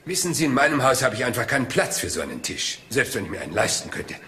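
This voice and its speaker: An actor playing a polite, seemingly scatterbrained police inspector in a crime drama, hesitant, rambling and deferential. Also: male voice, medium pitch, sing-song, fast, bright, forceful tone, slight background noise. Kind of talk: German crime drama dialogue.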